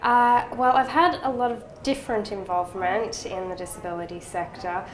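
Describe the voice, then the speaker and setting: Flat-voiced young Australian woman, echoey room. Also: mid-range voice, normal speed, slight background noise. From Melbourne, Australia. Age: 20s. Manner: hesitant, many fillers.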